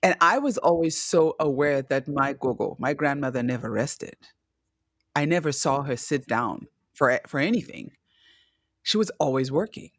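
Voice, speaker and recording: A woman's voice: deep voice, lively intonation, normal speed, no echo, clean studio sound. A woman from Zimbabwe, hosting a podcast interview in a chatty, affectionate way. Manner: chatty, affectionate, reads intro aloud. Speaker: podcast host.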